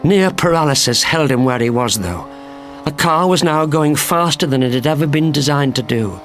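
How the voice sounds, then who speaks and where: Higher-pitched man narrating a thriller. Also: animated, moderate pace, a bit bright, slight background noise. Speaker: audiobook narrator. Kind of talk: dramatic scripted thriller narration.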